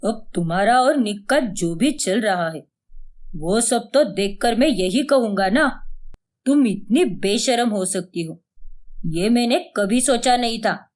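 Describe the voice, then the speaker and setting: A woman's voice: fairly high pitch, expressive, slow, darkish tone. A woman playing an emotional husband in a dramatized story, speaking in an emphatic, melodramatic way. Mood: jealous, scornful. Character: jealous husband, first scornful, then pleading and remorseful.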